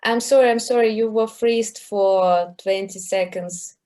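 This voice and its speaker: A woman moderating an online pitch event, giving brief, polite prompts over a video call. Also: steady tone, unhurried, mellow.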